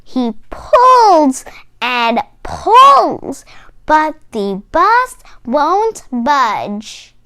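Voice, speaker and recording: A child reading a children's storybook aloud in an expressive, sing-song way. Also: sits high, drawn-out words.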